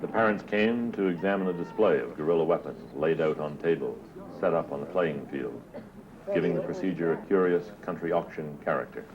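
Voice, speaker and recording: A man's voice: very deep voice, a little fast, lo-fi sound, crowd noise. A man reading a scripted news report as a reporter, on 1970s newsreel film sound.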